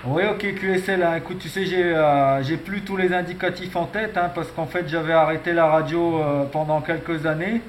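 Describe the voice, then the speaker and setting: A man's voice: a little high, steady tone, moderate pace, hardly any pauses. A man chatting as a radio operator, sprinkling his talk with fillers. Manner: chatty, says "hein" often. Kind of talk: radio contact exchange. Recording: echoey, noisy radio audio.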